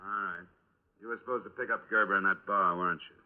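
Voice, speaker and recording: A thin, tinny old radio recording of a hard-boiled American investigator, played by a male actor. His voice sits low, with clipped, matter-of-fact phrasing. He is dry and insistent, in the manner of a 1950s radio crime drama. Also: steady tone, quick pace, close to an everyday voice. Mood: pressing, insistent.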